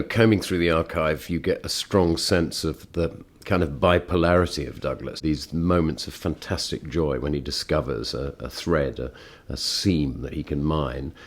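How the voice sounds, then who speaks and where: A man musing articulately in a reflective discussion. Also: low voice. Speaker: interviewee, colleague reminiscing.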